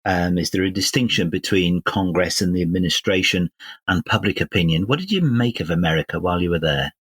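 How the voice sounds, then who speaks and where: Older British male interviewer, very low register, courteous and deliberate delivery, dry recording. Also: expressive, fairly bright tone, breathy edge, dry recording. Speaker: podcast host and interviewer. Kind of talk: measured podcast interview.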